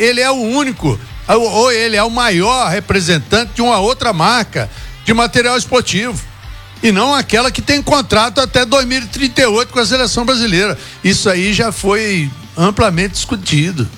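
A man commentator sounds off with firm opinions on a radio football talk show. His voice is high and bright, with faint music running underneath. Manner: opinionated, confident. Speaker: sports commentator. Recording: radio broadcast with music behind.

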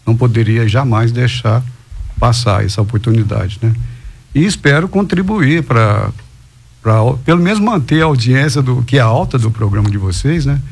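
A man from Goiânia with a Goiano accent, a businessman guest on a talk show, speaking haltingly with frequent tag questions. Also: medium pitch, gravelly, breathy edge. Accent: Goiano Brazilian Portuguese. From Goiânia, Brazil. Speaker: guest, real estate businessman. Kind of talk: casual chat.

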